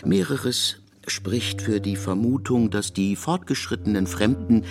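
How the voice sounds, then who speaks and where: A man reading literary prose as a narrator in a radio production, in a measured, formal storytelling manner. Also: on the low side, over music.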